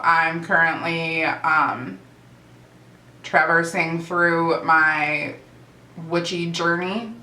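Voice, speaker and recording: A young woman talking casually to camera as a vlogger, chatty and relaxed with fillers and restarts. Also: sits low. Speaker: vlogger and nursing aide. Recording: echoey room.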